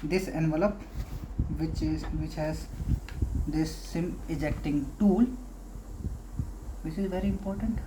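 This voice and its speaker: A woman hosting a casual product unboxing, talking haltingly in short phrases with pauses. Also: sits low, noisy background. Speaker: unboxing video host.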